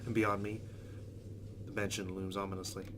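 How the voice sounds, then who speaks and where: A man's voice: fairly low pitch, flat delivery, moderate pace, background noise. A man hosting a let's play, reading visual novel narration aloud.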